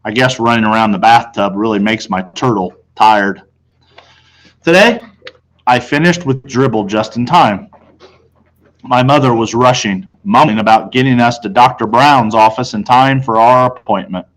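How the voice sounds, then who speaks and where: An American man reads a children's book aloud in the first person. His pitch sits in the middle and his delivery is expressive, like a teacher with a class. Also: normal speed, low-quality recording. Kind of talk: audiobook-style read-aloud of children's novel, first person.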